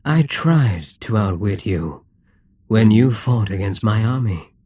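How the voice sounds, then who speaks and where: A man playing a dominant, gloating Demon King in an intimate ASMR roleplay, smug and coaxing, with a breathy, husky voice that often drops toward a whisper. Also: lowish voice, animated, slow, warm tone, dry recording, studio quality, exaggerated voice. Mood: patient, menacing. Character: dominant, gloating male Demon King toying with a captive hero.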